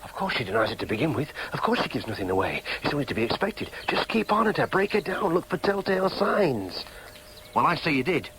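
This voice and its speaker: A man's voice: on the high side, sing-song, brisk, noisy background. An actor in a radio drama playing an earnest, dogged young man with a Yorkshire accent. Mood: inner doubt. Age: young adult. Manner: insistent, questioning, sometimes in inner monologue. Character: earnest, dogged young Yorkshireman seeking his birth mother.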